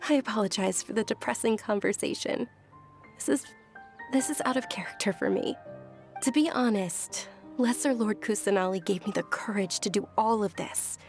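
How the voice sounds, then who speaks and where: A woman playing a gentle, frail young noblewoman in a video game, speaking softly and politely with earnest, measured delivery. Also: medium pitch, faint music behind, close to an everyday voice. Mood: grateful.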